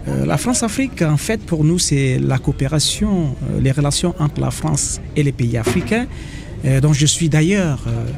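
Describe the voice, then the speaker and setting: A man from Cameroon, an association representative, speaking earnestly and haltingly in a radio interview at an association fair. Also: fairly high pitch, lively intonation, gravelly, background noise. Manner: hesitant, earnest, many pauses.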